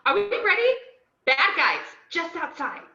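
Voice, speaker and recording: A woman acting brash playful antiheroine, high and swooping, theatrical, roomy muffled call audio. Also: measured pace, gravelly, exaggerated voice. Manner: animated, theatrical. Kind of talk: amateur table reading of a film script. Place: home, on a group video call.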